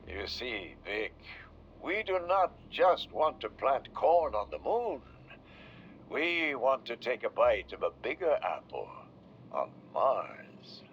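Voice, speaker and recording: Slow, grand Soviet official. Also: male voice, medium pitch, very animated. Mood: visionary. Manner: formal, grandiose, measured. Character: visionary Soviet official congratulating a new deputy. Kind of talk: video game recorded-message acting. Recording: muffled audio with faint noise.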